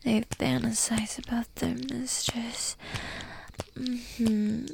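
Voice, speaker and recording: Woman breathy whispering up close, super slow and bossy, a bit gravelly. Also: on the high side, flat delivery, a little mellow, slight background noise, rough recording. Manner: dominant, teasing, commanding. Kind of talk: whispered adult roleplay audio.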